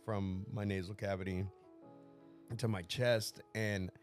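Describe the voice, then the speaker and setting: A man's voice: fairly low pitch, flat delivery, slow, soft background music. A man co-hosting a podcast in casual chat, congested from a sinus infection and swearing freely. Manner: profane, laid-back. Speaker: podcast co-host.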